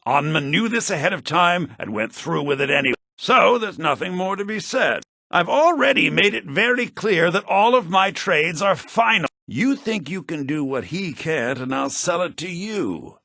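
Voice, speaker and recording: A sly, theatrical fantasy schemer. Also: male voice, lively intonation, normal speed, gravelly, few pauses, sharp tone, dry recording, heavily acted. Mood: smug, firm. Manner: theatrical, condescending. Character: sly, smug, self-serving male merchant and schemer. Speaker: video game voice actor. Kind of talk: fantasy role-playing game dialogue. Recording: low-bitrate game audio rip.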